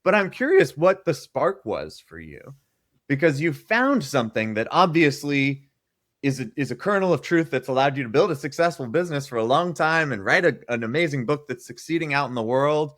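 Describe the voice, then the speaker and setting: A man's voice: a little high, very animated, moderate pace, a bit bright, no echo, clean studio sound. A man co-hosting an interview podcast, chatty and enthusiastic as he introduces and questions his guest. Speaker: podcast co-host.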